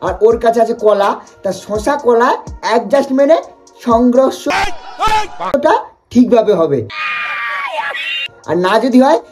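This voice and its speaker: A person hosting a comic roast in a mocking, exaggerated, excitable way. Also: medium pitch, takes it slowly, pauses often, shouting, crowd noise. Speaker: prank video host and roaster. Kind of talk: teasing comic roast commentary. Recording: music behind the voice. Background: music.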